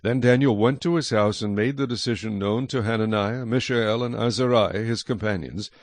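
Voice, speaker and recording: A man narrating scripture from a script in a measured, solemn manner. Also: lowish voice, no room sound. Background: faint music.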